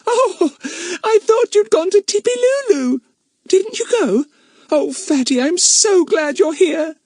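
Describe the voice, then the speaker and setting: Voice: high voice, very animated, moderate pace, dark tone, no room sound, studio quality, pitched above the performer's own voice. A narrator voicing an anxious, clingy little girl in a storybook. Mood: relieved.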